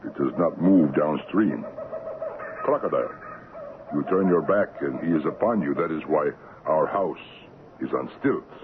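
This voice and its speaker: An actor playing a courteous, patient, quietly ominous African trading-post assistant in a radio drama, speaking measured, broken English. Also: male voice, extremely low pitch, animated. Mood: grave warning. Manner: measured, deferential, foreign-sounding broken English. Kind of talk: 1970s radio drama acting. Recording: muffled, noisy 1970s AM radio recording.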